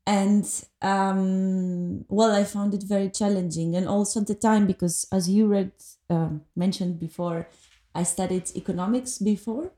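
Mellow-voiced woman. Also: medium pitch, steady tone. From Greece. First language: Greek. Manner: thoughtful, hesitant, many pauses and fillers. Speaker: podcast guest. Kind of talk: reflective conversation. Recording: echoey room.

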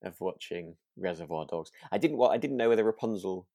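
A man's voice: low voice, lively intonation, a little fast, dark tone, no room sound, studio quality. A man, chatting informally as a podcast co-host and often checking for agreement. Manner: informal, tag questions seeking agreement. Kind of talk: casual chat about a radio drama.

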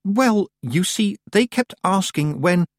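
A man's voice: high-pitched, wildly swooping pitch, moderate pace, breathy edge, no room sound, clean studio sound, pitched above the performer's own voice, exaggerated voice. A man voicing an earnest young witch girl who speaks anxiously and pleadingly. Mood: hesitant.

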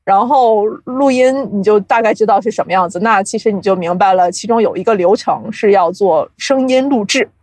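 Casting: woman, medium pitch, conversational interviewee who explains and gently mocks herself; dry, close recording. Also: normal speed, hardly any pauses, no echo. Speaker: podcast guest, audio drama producer.

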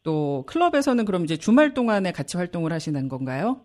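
A radio host interviewing guests with a polite, smooth broadcast delivery. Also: medium pitch, sing-song, fast, a little mellow.

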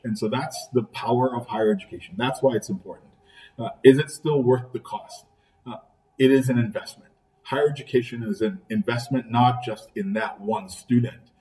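Earnest middle-aged man, mellow voice. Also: medium pitch. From Trinidad and Tobago. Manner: earnest, deliberate, repeats key phrases. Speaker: college president, podcast guest. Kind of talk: measured interview answers. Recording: voice picked up from a distance.

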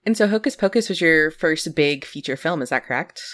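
A woman's voice: deep voice, expressive, fairly quick, fairly bright tone, dry recording. Bubbly, laughing podcast co-host woman. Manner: enthusiastic, laughs often. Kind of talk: casual podcast interview.

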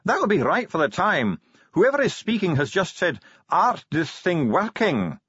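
A man voicing an earnest, scholarly dwarf translator in an audiobook, reciting ancient lore in slow, archaic, declamatory phrases. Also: high-pitched, big pitch swings, fairly bright tone, breathy edge, no room sound, dull audio, pitched above the performer's own voice, exaggerated voice. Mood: solemn reciting.

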